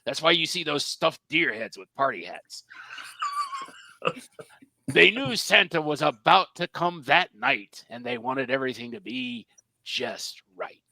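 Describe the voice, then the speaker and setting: Guy reading a kids story out loud, lively and gravelly, wry little asides, close dry sound. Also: medium pitch, a little slow, no room sound, poor audio. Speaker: narrator. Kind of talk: animated storybook narration at a live script reading.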